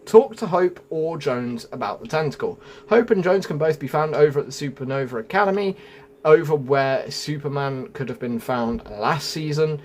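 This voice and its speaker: A man's voice: a little high, lively intonation, normal speed, a little mellow, a little hiss or hum. A man narrating a casual gaming guide in a chatty way, addressing viewers directly and musing as he goes. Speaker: gaming video creator.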